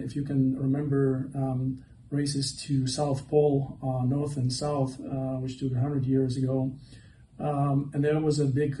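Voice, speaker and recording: A man in his forties from Ukraine presents to a conference audience, pausing often with many fillers. His voice is mellow and flat in pitch. The sound comes from a little way off the mic in a reverberant hall. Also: slight background noise. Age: 40s. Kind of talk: conference talk. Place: event hall. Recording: echoey hall, mic from far off.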